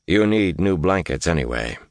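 Man acting a very deep, cold, commanding lord. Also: expressive, normal speed, dry recording, clean studio sound. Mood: terse, commanding. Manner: cold, rough, terse commands and threats. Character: hard young male lord, cold, commanding, threatening.

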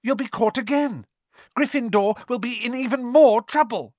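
Nervous, stubborn young boy, high and strained, read by a man. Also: normal speed, darkish tone, dry recording, clean studio sound. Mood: worried. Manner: strained, shaky, insistent. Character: nervous, stubborn schoolboy working up courage.

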